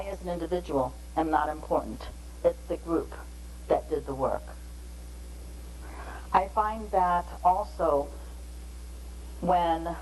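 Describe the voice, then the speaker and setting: A woman giving a measured, explanatory training lecture on interpreting, pausing between phrases. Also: low voice, darkish tone, slight background noise, low-quality recording.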